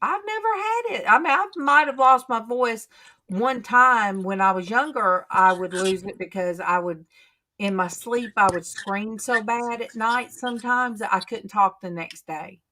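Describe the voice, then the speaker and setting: Slow-talking woman host. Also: fairly high pitch, pushed and bright. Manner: chatty, storytelling, drawn-out. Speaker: channel host. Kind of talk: casual faith chat on a livestream.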